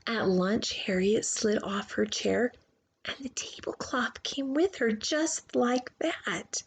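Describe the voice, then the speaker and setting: A woman reading a children's storybook aloud expressively, with theatrical pauses and hushed emphasis. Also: fairly high pitch, animated, breathy edge. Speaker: children's book reader.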